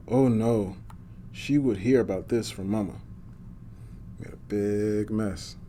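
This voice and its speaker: Male storybook reader, medium pitch, superhero guest for kids, talks to the listeners, faint ambient noise. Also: darkish tone. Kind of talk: read-aloud storytelling with asides to children.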